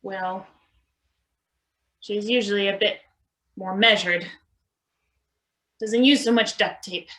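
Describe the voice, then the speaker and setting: A woman playing a mild, weary maintenance worker in a stage play reading, halting and understated. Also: mid-range voice, fairly even intonation, fairly bright tone. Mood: awkward, dry. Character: hesitant, mild-mannered, weary male maintenance worker named Izzy. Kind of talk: live table read of a satirical stage play.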